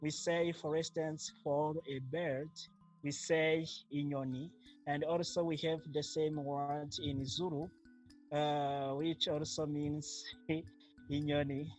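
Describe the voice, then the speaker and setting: Rwandan-accented man, Kinyarwanda native, unhurried and measured delivery over soft music. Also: fairly high pitch, level tone, poor audio. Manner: slow, measured, with frequent fillers. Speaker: interviewee. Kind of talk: casual interview.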